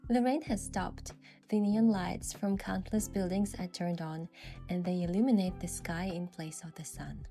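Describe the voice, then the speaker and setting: A woman playing a gentle, thoughtful young heroine, narrating her game story softly and in measured scripted first person. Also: lowish voice, faint music behind, natural voice.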